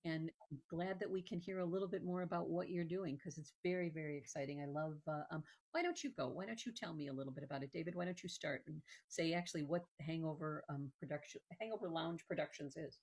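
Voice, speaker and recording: A woman's voice: low voice, fast talker, darkish tone. A woman hosting a conversational interview in an effusive, hesitant, rambling manner. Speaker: show host.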